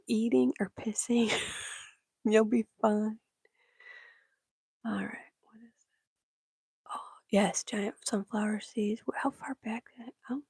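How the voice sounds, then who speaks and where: A woman from Michigan whispering in casual chat with her livestream viewers, breathy and laughing often. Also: on the high side, a little mellow, dry recording. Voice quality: breathy, gravelly. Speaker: livestream host.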